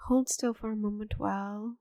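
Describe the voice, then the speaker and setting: Woman playing friendly scatterbrained witch, gentle n theatrical, chatty asmr roleplay, very dry close sound. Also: medium pitch, fairly even intonation, moderate pace, no echo, close to an everyday voice. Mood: curious helpful. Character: friendly, chatty, slightly scatterbrained forest witch, giantess to the shrunken listener. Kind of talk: ASMR roleplay audio drama.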